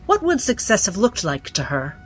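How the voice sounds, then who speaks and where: A woman's voice: medium pitch, huge pitch swings, moderate pace, slightly rough. A woman playing a coldly probing interrogator in a 1960s-set drama, formal, pointed and pressing. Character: relentless, coldly probing female interrogator questioning a doctor. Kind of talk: 1960s cinematic drama acting.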